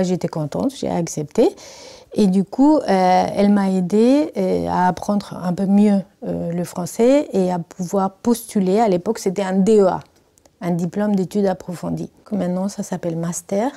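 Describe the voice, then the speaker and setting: Middle-aged woman, researcher in a documentary interview, mellow and warm, pausing often as she speaks. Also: mid-range voice, gravelly. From Argentina. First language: Spanish. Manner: hesitant, many fillers, thoughtful. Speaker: research engineer. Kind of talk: documentary interview, personal story.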